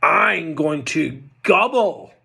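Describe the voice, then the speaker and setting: A man's voice: a little high, expressive, very slow, pushed and bright, heavily acted. A man voicing a greedy, hungry male troll who roars and growls at the goats. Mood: roaring. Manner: roaring, greedy and gruff.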